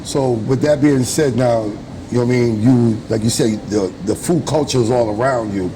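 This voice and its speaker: A man with a medium-pitched voice hosts a casual local interview. He puts his questions informally, repeating words as he builds them. Clear ambient noise is audible around him. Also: moderate pace. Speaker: interviewer, host of a local food show.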